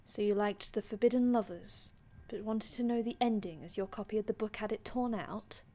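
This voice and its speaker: A woman's voice: mid-range voice, normal speed, dark tone, lightly acted. A woman playing a courteous, ancient vampire healer in an ASMR roleplay, speaking formally and reassuringly with archaic phrasing and small stammers. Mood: soft, reflective. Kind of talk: ASMR roleplay, addressing the listener.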